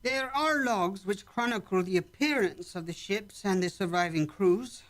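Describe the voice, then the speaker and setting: A calm and dignified alien villager , acted for a radio play, speaking in measured, formal phrases. The voice sits at a middling pitch and carries a stately, unhurried manner. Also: close to an everyday voice. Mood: solemn, welcoming. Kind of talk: radio drama acting.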